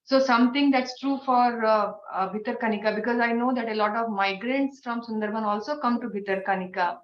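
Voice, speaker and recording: A woman with an Indian English accent speaks hoarsely through a cold, giving measured advice with frequent pauses. Her call audio sounds dull and roomy, with faint background noise. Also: fairly high pitch. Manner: thoughtful, advisory, frequent fillers. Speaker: invited expert speaker. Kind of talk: online training Q&A. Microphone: video call.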